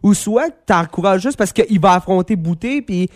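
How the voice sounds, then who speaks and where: A man's voice: sits high, animated, moderate pace. A Québécois man hosting a radio sports show, talking boxing in a rambling, casual way with many fillers and restarts.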